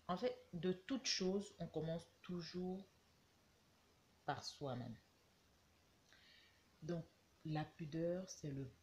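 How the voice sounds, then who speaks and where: Slow, low-voiced woman with a cold. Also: dark tone, a little hiss or hum. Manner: slow, reflective, with hesitations and repeated words. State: has a cold. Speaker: vlogger giving advice. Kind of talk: casual talk to camera.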